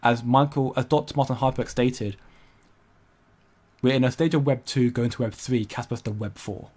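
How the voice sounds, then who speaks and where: A man's voice: mid-range voice, fairly even intonation, moderate pace, darkish tone. A young man hosting a casual crypto livestream, rambling and hesitant with frequent restarts while he reads out viewer comments. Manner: rambling, hesitant, many restarts, laughs often.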